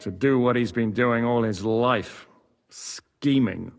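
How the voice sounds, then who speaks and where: Blunt medieval ex-bandit, reverberant. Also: male voice, mid-range voice, takes it slowly, creaky. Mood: sardonic. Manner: frank, sardonic. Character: blunt, wry former bandit in medieval Europe. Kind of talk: dramatic video game cutscene acting. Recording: long hall reverb.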